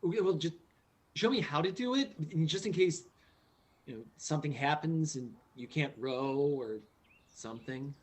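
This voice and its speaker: A man playing an earnest, anxious but insistent son in a radio play, with a husky, hesitant delivery. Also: on the high side, moderate pace. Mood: nervous. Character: earnest, anxious, insistent child of a dead father.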